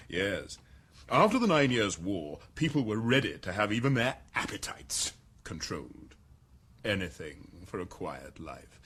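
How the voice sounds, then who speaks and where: In a radio play, a suave male ruler who explains things in a measured, amused and condescending tone. His voice sits low with a husky edge, and his melody swings widely. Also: a bit bright, heavily acted. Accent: British English. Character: urbane, powerful male world controller, amused. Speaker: world controller. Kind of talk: 1990s radio drama.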